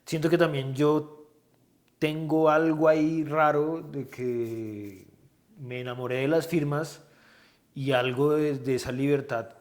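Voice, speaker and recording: A man's voice: fairly high pitch, steady tone, slow pace, darkish tone. Thoughtful Colombian man, hesitant phrasing. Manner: thoughtful, hesitant, searching for words. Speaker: guest artist. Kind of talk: casual interview chat.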